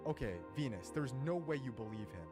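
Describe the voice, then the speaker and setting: A man's voice: mid-range voice, lively intonation, fairly quick. A man arguing his case in a social-deduction game, slangy and incredulous in manner. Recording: loud music behind the voice. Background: loud music.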